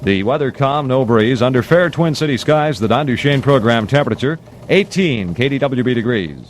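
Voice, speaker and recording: A man's voice: mid-range voice, lively intonation, moderate pace, slight background noise. An American man reading a 1960s radio news bulletin with formal, punchy headline delivery, on an old AM aircheck recording.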